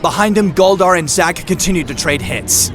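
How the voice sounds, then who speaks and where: A man's voice: sits high, expressive, moderate pace. A man narrating an action audio drama from a script, describing the scene in the present tense. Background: dramatic music.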